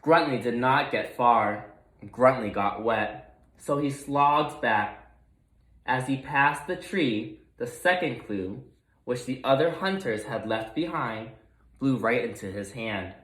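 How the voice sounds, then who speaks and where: A man's voice: mid-range voice, a bit bright. A man narrating a children's picture book expressively as a read-aloud storyteller, in a roomy recording.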